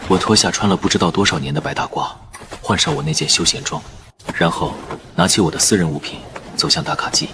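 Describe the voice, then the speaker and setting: A man narrating a first-person story in a calm, even manner. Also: low voice, quick pace, creaky, background noise.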